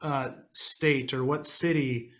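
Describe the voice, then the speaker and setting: A man hosting a podcast, with a Wisconsin accent, talking in a halting, laid-back way with many fillers. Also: medium pitch, steady tone, a bit bright. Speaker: podcast host. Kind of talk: casual podcast chat.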